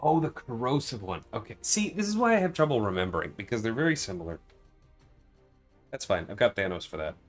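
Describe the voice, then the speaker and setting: Man, lower voice, casual game streamer, conversational and argumentative, faint music behind. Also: sing-song, brisk. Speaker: livestreamer and game player. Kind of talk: casual livestream gameplay chat.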